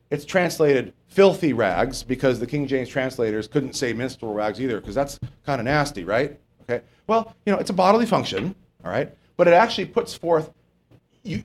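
Male pastor preaching in a casual, questioning way. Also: a little high. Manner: conversational preaching with rhetorical questions and joking asides. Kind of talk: sermon. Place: church.

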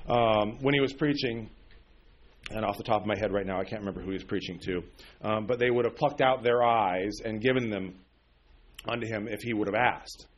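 A man's voice: fairly low pitch, a little fast, dull audio, slight background noise. A man in his forties teaching a sermon on a Bible passage, with many fillers and restarts, often checking in with "okay?". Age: 40s. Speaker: preacher.

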